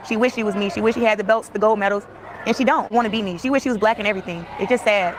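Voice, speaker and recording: A woman's voice: medium pitch, rapid-fire. A woman speaking casually, as if in an interview, in a replayed clip. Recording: faintly noisy replayed clip.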